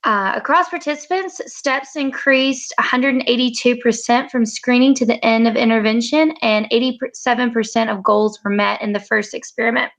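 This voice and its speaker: A woman in her twenties giving a class presentation, reading from notes with frequent fillers and pauses. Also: on the high side, a bit bright. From United States. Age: 20s. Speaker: graduate student presenter.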